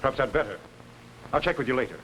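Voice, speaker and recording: A man acting as a blunt, skeptical doctor in a 1950s television mystery, brisk and dismissive. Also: mid-range voice, lively intonation, a bit bright, lightly acted. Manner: brisk, dismissive, matter-of-fact. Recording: noisy old 1950s television soundtrack.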